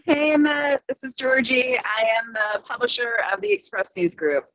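A woman, a publisher, joining a podcast on a call while she drives, brisk and cheerful. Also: on the high side, lively intonation, fairly bright tone, low-quality recording. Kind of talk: casual podcast chat. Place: car, driving. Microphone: phone call from a moving car.

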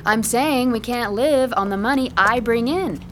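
A woman's voice: a little high, measured pace, a little hiss or hum, lightly acted. A woman playing a practical, skeptical young girlfriend in an audio drama, with a dry, sarcastic, exasperated delivery. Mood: fed up. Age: young adult. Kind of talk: naturalistic audio drama dialogue.

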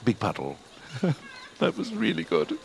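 Greedy land baron, pompous, dismissive, unhelpful, hugely animated pitch, audio drama villainy. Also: male voice, takes it slowly, creaky, a little hiss or hum, full character voice. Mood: gloating. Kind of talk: audio fiction acting.

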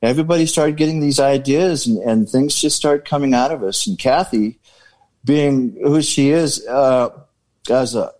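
A man's voice: mid-range voice, moderate pace, a little mellow. A man in a band interview, talking casually and rambling, repeating words as he searches for what to say. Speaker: band member.

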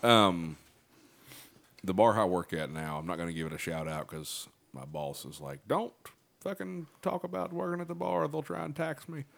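A man's voice: on the low side, very animated, normal speed, gravelly, a bit bright. A man with a Southern drawl, a comedian chatting loosely on a podcast. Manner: laid-back, rambling, often laughing. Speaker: comedian and bartender. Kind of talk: casual podcast chat.

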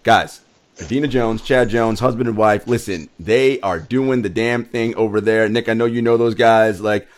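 A young man hosting a casual chat show, animated and quick to laugh, with a hype delivery. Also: on the low side. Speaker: host.

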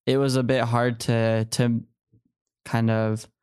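Young man, smooth and even-toned. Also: mid-range voice, takes it slowly, dry recording, studio quality. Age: early 20s. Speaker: podcast guest, returned missionary. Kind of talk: personal storytelling in an interview.

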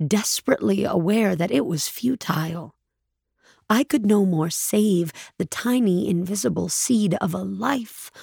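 An actress playing a numb, grieving woman narrating the end of her world in a somber, measured, husky voice for an audio drama. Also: medium pitch, a little mellow, no room sound, clean studio sound, heavily acted. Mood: despairing. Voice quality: husky, gravelly. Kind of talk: dramatic first-person audio fiction narration.

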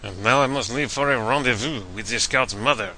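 A man's voice: medium pitch, a little fast, lightly acted. A man playing a haughty, pompous villainous spy from a video game in a scripted comedy skit, theatrical and scornful. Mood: imperious. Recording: noisy hum behind the voice.

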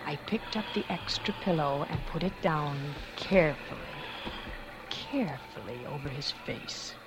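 Scheming, cold-blooded wife, 1940s radio suspense. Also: female voice, deep voice, expressive, unhurried, background noise. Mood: cold, calculating. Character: scheming, cold-blooded former actress poisoning her husband. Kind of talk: 1940s radio suspense acting, first-person confession. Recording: 1940s radio recording with music.

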